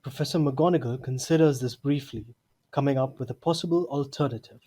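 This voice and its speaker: A man's voice: medium pitch, fairly quick, mellow, husky. A man reading scripted narration for an audio drama.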